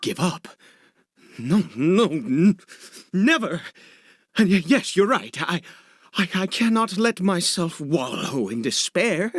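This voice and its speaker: An actor playing a desperate, obsessive father in a video game, speaking in a halting, stammering, nervous mutter. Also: sits high, sing-song, slightly rough, no room sound, exaggerated voice. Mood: shaken, resolving. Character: desperate, obsessive father brewing medicine for his son. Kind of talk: dramatic video game voice acting. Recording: dialogue over background music.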